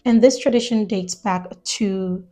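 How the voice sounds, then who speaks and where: Woman, from Ghana, measured and explanatory, mid-pitched voice, faint music underneath. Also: mellow. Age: adult, lived years in the US. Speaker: video essayist. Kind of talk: video essay commentary.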